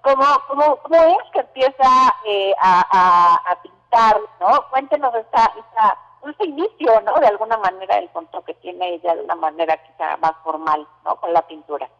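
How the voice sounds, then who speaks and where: A woman's voice: medium pitch, normal speed, few pauses, a bit bright, lo-fi sound. A woman hosting a community radio interview, chatty and full of fillers. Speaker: radio host. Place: radio studio.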